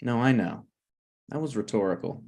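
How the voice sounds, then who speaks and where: A man's voice: medium pitch, expressive, normal speed, creaky, a little mellow. A man playing a stubborn, snarky broke writer with dry, needling delivery in a comedy table read. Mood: sheepish, dry.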